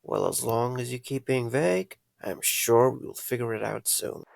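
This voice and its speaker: An actor playing a young night guard, sarcastic but caring and a little weary, in an audio drama. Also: male voice, mid-range voice, moderate pace, gravelly, dry recording, clean studio sound. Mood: concerned, dry.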